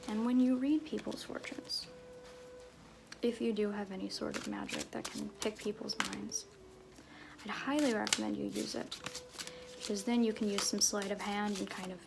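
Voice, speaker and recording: A woman playing a flirtatious, roguish circus fool in a soft-spoken ASMR roleplay with whispers, theatrical and sly, with hesitant pauses. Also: medium pitch, creaky, background noise.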